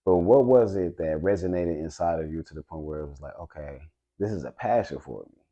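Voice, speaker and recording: Low, mellow-voiced male podcast host. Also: expressive, fairly quick, highs cut off. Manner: eager questioner, repeats phrases and agrees often. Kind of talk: casual interview chat.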